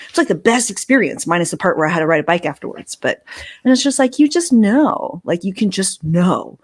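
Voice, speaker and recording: A woman's voice: lowish voice, slightly rough. A woman hosting a casual conversation, speaking emphatically and acting out other people's reactions. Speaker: interview host. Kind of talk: casual chat.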